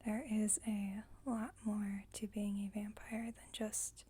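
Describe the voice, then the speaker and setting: A woman playing a composed, formal vampire coven leader in an ASMR roleplay, whispering softly in a measured, instructive, gently wry way. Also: medium pitch, flat delivery. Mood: wryly instructive. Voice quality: breathy, whispered, soft.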